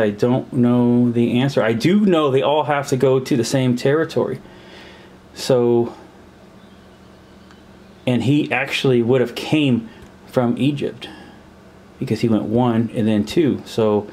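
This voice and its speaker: A man at a table talks himself through a war board game, counting out pieces and stopping to puzzle over the rules. His voice sits at an ordinary middle pitch, with a faint hiss of background noise in the recording. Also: darkish tone. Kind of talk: thinking aloud while playing a war board game. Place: indoors at a table.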